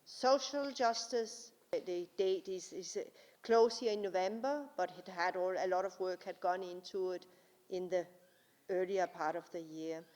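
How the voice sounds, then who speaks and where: Woman, Danish accent, guest speaker at a conference, slow and deliberate, echoing hall. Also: on the low side, a little mellow. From Denmark. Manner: deliberate, slow and careful, with frequent uh pauses. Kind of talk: formal conference speech. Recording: long hall reverb.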